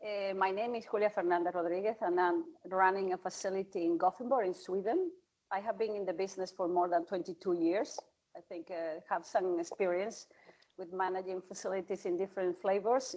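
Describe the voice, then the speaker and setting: Lowish-voiced woman presenting her facility. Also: fairly even intonation, a little fast, darkish tone, low-quality recording. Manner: plain, searching for words with some restarts. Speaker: imaging facility manager. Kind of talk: panel introduction. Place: conference hall at a forum.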